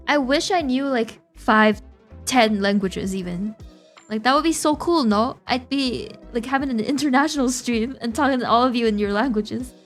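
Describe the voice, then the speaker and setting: A young woman streaming a game, chatting to viewers in an excitable, giggly, talkative way. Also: mid-range voice. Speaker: VTuber streamer. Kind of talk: casual game-stream commentary.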